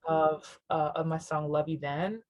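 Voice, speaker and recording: Chatty reflective woman, slightly low voice. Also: fairly even intonation, unhurried. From Southern US, near Nashville, Tennessee. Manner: reflective, rambling, many fillers, laughs often. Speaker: musician guest. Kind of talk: casual interview chat.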